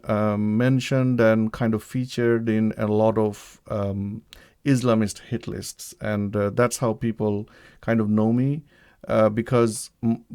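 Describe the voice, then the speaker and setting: A man from Bangladesh opens a live online show, talking in a halting way full of fillers and self-corrections. His voice sits a little low, and he talks over a computer mic. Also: darkish tone, clear, resonant tone. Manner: hesitant, many ums and restarts. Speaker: livestream host. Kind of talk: live-streamed talk introduction. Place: home setup, living in the UK.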